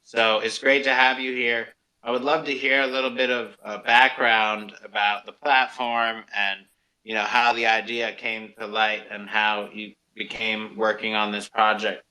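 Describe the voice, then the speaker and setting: A man's voice: on the low side, flat delivery, a little slow, bright, forceful tone, poor audio. A man hosting an interview show, introducing guests and putting questions to them. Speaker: radio show host.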